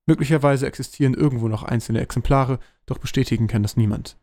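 Male narrator for a fantasy audio drama, soft dark timbre, mid pitch. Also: fast talker, dry recording.

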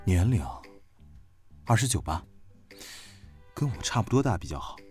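A man's voice: on the low side, big pitch swings, moderate pace, darkish tone. A man acting a blunt, naive young military officer in a Chinese audio drama. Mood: matter-of-fact. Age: young adult. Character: blunt, naive young male military major general, sci-fi world. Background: music.

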